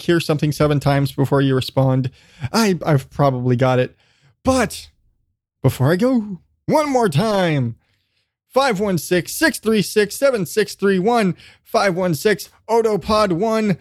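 High-voiced man, casual rambling podcast host. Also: measured pace, no echo, clean studio sound. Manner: rambling, self-deprecating; casually profane. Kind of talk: casual solo podcast chat.

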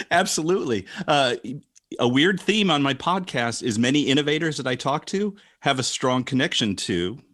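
A man from Northern Illinois hosts a casual podcast chat. He talks easily at a middle pitch and mostly drops in short agreeing words while his guest talks. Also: lively intonation, moderate pace, a bit bright. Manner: easygoing, brief agreeing replies. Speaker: podcast host.

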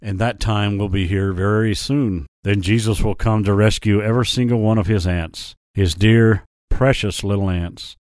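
A man voicing a gentle but serious lion messenger in a children's story, preaching earnestly. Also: fairly low pitch, fairly even intonation, normal speed, few pauses, no room sound, close to an everyday voice. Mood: solemn warning.

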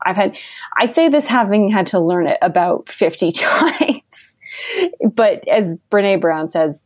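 A woman's voice: lowish voice, a little mellow, dry recording, clean studio sound. A young woman guest on a podcast interview, talking in a bubbly, enthusiastic way and laughing often. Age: young adult. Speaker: guest copywriter.

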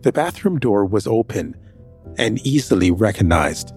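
A man's voice: fairly low pitch, big pitch swings, unhurried, a little mellow, breathy edge, faint music behind, full character voice. An actor narrating as a nervous man hiding his sick brother, hesitant and anxious in his dialogue. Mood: steady narration. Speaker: first-person narrator. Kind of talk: audio drama narration.

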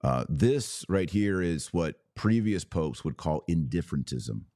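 A man with a slightly raspy voice, worn from hours of talking, hosting a casual religious commentary podcast in short, emphatic phrases. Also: low-pitched, lively intonation, measured pace, warm tone, no echo, studio quality. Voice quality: a little raspy. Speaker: podcast host.

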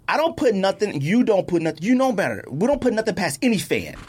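Animated American man arguing his point. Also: sits high, quick pace, slightly rough. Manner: animated, emphatic, repeats himself to make a point; slangy, informal speech. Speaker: podcast co-host. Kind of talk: casual sports debate between friends.

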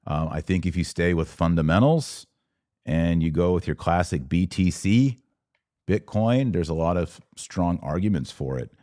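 A man with a low-pitched voice speaks as a podcast host in a dry, close recording. He delivers opinion commentary as a monologue to his viewers. His manner is didactic and emphatic, punctuated with rhetorical check-ins. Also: normal speed, mellow, dry recording.

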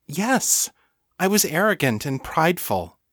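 A man's voice: fairly high pitch, lively intonation, a little slow, fairly bright tone, dry recording, studio quality, pitched above the performer's own voice. A man voicing a devoted, self-effacing female thrall in an audiobook, earnest and reverent in manner. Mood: earnest confession.

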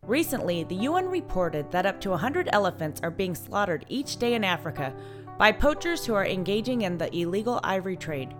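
A woman host reading scripted narration in a clear, expressive way. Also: mid-range voice, fairly quick, over loud music.

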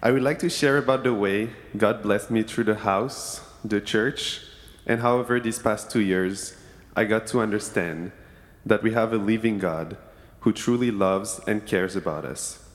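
A young man from Senegal giving an earnest, measured testimony to a church congregation. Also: lowish voice. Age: young adult. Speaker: student speaker in church service. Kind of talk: prepared testimony. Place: church sanctuary. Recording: long hall reverb.

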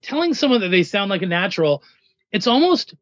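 A man with a notably high voice talks as a guest on a podcast, explaining things in a casual, conversational way. The sound is dry and a little muffled. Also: big pitch swings, a little fast, fairly bright tone, no room sound. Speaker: podcast guest, writer and editor.

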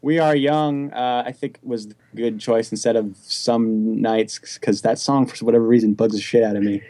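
A young man hosting a casual music chat, telling loose, animated stories and swearing freely. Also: medium pitch, moderate pace, dry recording. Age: young adult. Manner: animated, loose and profane storytelling.

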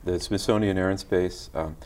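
A middle-aged man talking in a casual interview as a guest songwriter, gentle and hesitant, often restarting his sentences. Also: sits low, fairly even intonation, moderate pace. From Virginia, USA. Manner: soft-spoken, hesitant with many restarts.

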